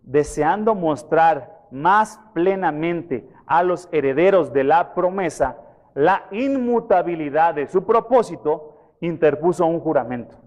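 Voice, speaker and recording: A pastor preaching a sermon, questioning his congregation and retelling scripture in a lively way. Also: on the high side, measured pace, muffled recording. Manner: questions the congregation, repeats references for them. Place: church.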